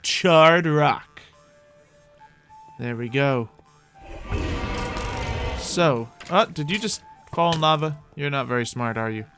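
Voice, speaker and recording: A man's voice: fairly high pitch, takes it slowly, pauses often, a bit bright, soft background music. A man giving playful, improvised gameplay commentary as a host, thinking aloud to viewers while roleplaying. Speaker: gaming host playing a roleplay character.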